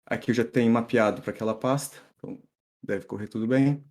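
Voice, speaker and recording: A middle-aged man from São Paulo with an accent mixing Brazilian and European Portuguese, giving a relaxed live-coding talk full of fillers and restarts. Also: medium pitch, fast talker. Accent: mixed Brazilian and European Portuguese. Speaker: tech meetup speaker.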